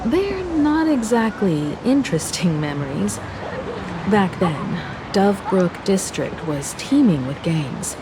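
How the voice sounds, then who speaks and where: A woman voicing a composed, wistful young woman in a game story, talking in a measured, reflective way. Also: medium pitch, sing-song, noisy background. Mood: fond, nostalgic. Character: composed, wistful young woman, former scrappy martial artist student. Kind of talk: scripted game story dialogue.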